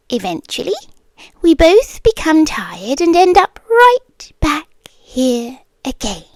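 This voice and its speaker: Woman acting a slow, high-voiced British predatory scorpion girl. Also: dry recording, exaggerated voice. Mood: taunting, calm. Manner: slow, deliberate, teasing, half-whispered. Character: possessive, coaxing scorpion woman, predatory yandere captor. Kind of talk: intimate ASMR roleplay.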